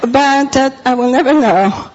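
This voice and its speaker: A woman's voice: sits high, unhurried, a little mellow, dull audio, slight background noise. A middle-aged woman from Costa Rica with a Spanish accent, preaching a sermon in an earnest way and repeating phrases for emphasis.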